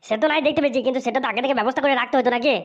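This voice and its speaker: A woman's voice: high voice, rapid-fire, muffled recording, lightly acted. A woman voicing a touchy, rude, indignant young bridegroom in a comedic cartoon. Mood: scolding.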